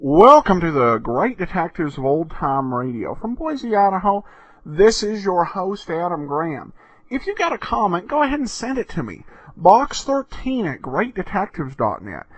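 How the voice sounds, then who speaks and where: An American man hosting a podcast, giving a chatty scripted introduction in an announcer style with hesitant pauses. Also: high-pitched, sing-song, no room sound. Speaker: podcast host.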